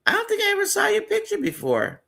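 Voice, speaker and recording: A man's voice: extremely high pitch, wildly swooping pitch, quick pace, gravelly, sharp tone. A man hosting a live stream, chatting casually and rambling with frequent fillers.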